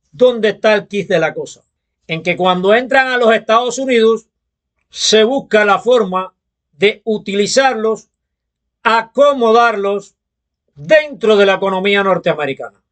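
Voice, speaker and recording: A Cuban man with a high-pitched voice speaks as a political commentator. He delivers his opinion monologue slowly and deliberately, stressing his points with emphasis. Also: fairly bright tone. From Cuba.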